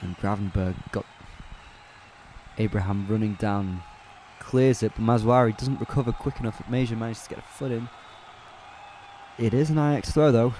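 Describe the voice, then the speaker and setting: Relaxed man giving casual match commentary. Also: fairly low pitch, normal speed, long pauses, slight background noise. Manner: relaxed, chatty, corrects himself and answers live chat. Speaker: match commentator on a fan watch-along stream. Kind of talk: casual live play-by-play commentary.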